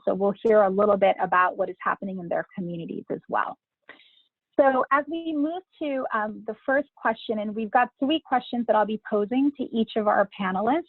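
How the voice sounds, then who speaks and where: A woman's voice: medium pitch, no room sound. Woman moderating over a thin line. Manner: measured, with fillers. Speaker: host, moderator. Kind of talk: moderating a webinar. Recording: dry, tinny phone-like line.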